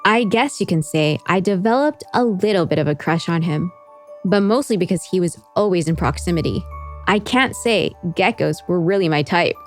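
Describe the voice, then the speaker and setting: A woman's voice: lowish voice, expressive, moderate pace. A woman host telling a scripted story as narrator, with wry, chatty asides. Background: music behind the voice.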